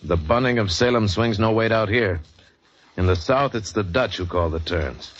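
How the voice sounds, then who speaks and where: An actor playing a restless American sea captain in a 1940s radio adventure drama. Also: male voice, lowish voice, moderate pace, close to an everyday voice. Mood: weary brooding. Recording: noisy, muffled 1940s radio recording.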